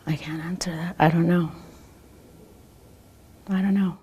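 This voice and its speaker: Lower-pitched American woman, candid and halting. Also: steady tone, dark tone, breathy edge. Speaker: news interviewee. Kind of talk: candid conversational interview.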